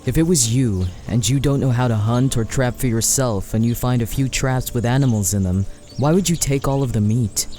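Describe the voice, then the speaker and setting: Man acting a mellow-voiced, cautious fantasy father. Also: medium pitch, moderate pace, faint music behind, natural voice. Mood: concerned. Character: cautious, practical husband and father in a fantasy forest. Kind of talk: fantasy audio drama acting.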